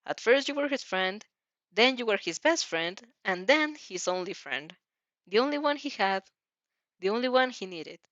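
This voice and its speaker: A woman narrates fan fiction aloud in a dramatic, slightly halting way. Her pitch is average, and the recording is dry and a little muffled. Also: animated, fairly bright tone, no room sound.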